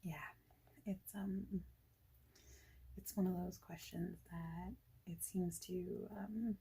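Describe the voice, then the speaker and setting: This is a woman speaking softly in an informal ASMR interview roleplay. Her voice is very breathy and fairly low, with a dark, relaxed tone. She talks in a casual, hesitant, chatty way and often slips into a whisper. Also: takes it slowly, low-quality recording.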